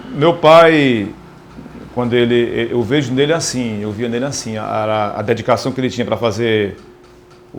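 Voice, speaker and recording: A man with a Northeastern Brazilian accent reminiscing in an interview, haltingly, often repeating words as he searches for them. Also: medium pitch. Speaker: radio presenter, interviewee. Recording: noticeable background noise, ambient sound.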